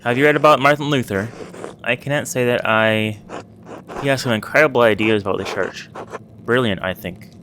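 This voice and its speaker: A man's voice: mid-range voice, normal speed, fairly bright tone, slight background noise, natural voice, poor audio. Man reading as an insistent, opinionated baron. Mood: enthusiastic. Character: opinionated nobleman baron, keen on new ideas. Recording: music under the voice.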